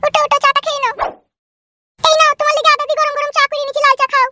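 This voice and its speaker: A woman voicing a coaxing, complaining village housewife in a comedy cartoon, with a shrill whine. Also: flat delivery, very fast, background noise.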